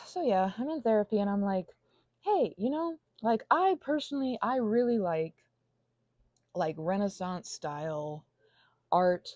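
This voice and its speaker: A woman's voice: medium pitch, lively intonation, a little slow, long pauses, a little mellow, dry recording, muffled recording. A woman giving a scattered, playful, self-deprecating improvised ramble, trailing off into tangents and asides and sometimes dropping to a near whisper. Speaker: audio channel creator. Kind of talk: improvised stream-of-consciousness ramble while finger painting.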